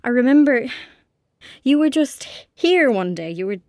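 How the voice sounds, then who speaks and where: Lonely young Irish girl, high voice, close dry sound, hesitant, anxious, radio drama. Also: very animated, measured pace, darkish tone, dry recording, exaggerated voice. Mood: frightened, confronting. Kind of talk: audio drama acting.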